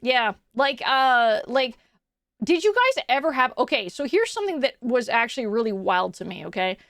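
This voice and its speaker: A woman's voice: a little high, very animated, normal speed, a bit bright, no room sound, studio quality. A young adult woman streaming from home, chatting casually with her chat. She rambles, restarts her sentences often and laughs a lot.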